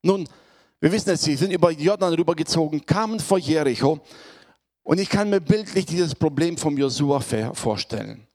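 A man preaching to his congregation, hoarse from a cold, speaking in a conversational way and often checking in with his listeners. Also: on the high side, animated, moderate pace, a little mellow. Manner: conversational, addresses congregation with tag questions. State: has a cold, voice affected. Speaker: pastor. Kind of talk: sermon.

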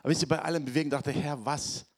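A man preaching to his congregation, hoarse from a cold, speaking in a conversational way and often checking in with his listeners. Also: on the high side, fairly even intonation, very fast. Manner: conversational, addresses congregation with tag questions. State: has a cold, voice affected. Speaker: pastor. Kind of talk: sermon.

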